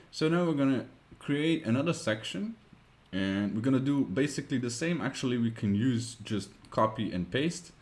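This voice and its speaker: A man with a Dutch accent, a Dutch native speaker, narrating a screen-recorded web design tutorial, thinking aloud with many pauses and fillers. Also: on the low side, darkish tone.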